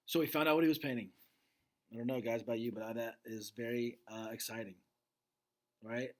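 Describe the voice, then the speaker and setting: A man reading a children's book aloud with expressive narration and casual asides. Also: mid-range voice, brisk. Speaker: storybook reader.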